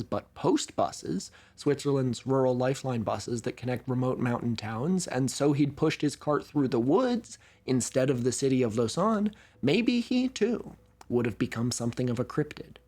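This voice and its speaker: A man from Oregon narrating a documentary in a wry, conversational storytelling manner. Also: husky. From Oregon, USA. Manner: wry, conversational storytelling with lively pitch swings.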